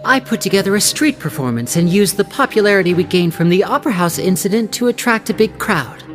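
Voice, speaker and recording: A woman's voice: mid-range voice, animated, fast talker, close to an everyday voice. A woman voicing a sly, composed young male stage magician in a video game, articulate and breezy like a showman. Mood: breezy storytelling. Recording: music behind the voice.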